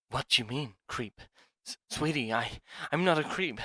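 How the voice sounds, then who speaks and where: An eager young thief acted by a man in an ASMR roleplay, with a bright, forceful voice of medium pitch. He is urgent and clingy, stammering with obsessive devotion. The recording is dry and close. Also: slightly rough, dry recording, heavily acted. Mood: pleading, obsessive.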